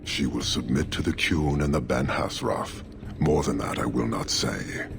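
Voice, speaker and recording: A man's voice: very deep voice, unhurried, exaggerated voice. A man playing a towering, stern horned warrior commander in a video game, speaking in solemn, formal declarations. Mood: stern, imperious. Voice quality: gravelly. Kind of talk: video game cutscene acting. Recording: loud game music behind.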